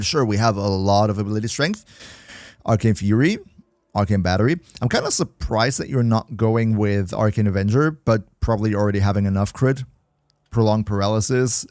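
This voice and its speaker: A man's voice: lowish voice, darkish tone, no room sound. A man chatting casually with viewers on a livestream, reading chat aloud and joking around. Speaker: streamer.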